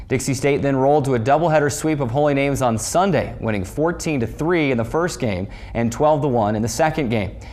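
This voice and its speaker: A man's voice: mid-range voice, darkish tone. A male sportscaster with a mid-range voice reads a scripted sports recap. He delivers it like an announcer, leaning into the opening of each new story. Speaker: sports show host and play-by-play voice.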